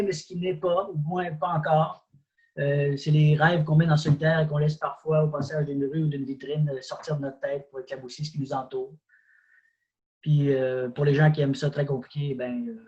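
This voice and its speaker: Flat-voiced man, lo-fi sound. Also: a little high. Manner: ironic, halting with fillers. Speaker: poet presenting his book. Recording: dull lo-fi sound.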